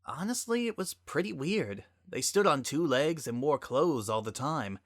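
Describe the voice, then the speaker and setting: A man's voice: a little high, animated, unhurried, no echo, clean studio sound, voice pushed up. A man voicing a young female cartoon pony who is weary, guarded and wryly sarcastic in a storybook reading. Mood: reflective.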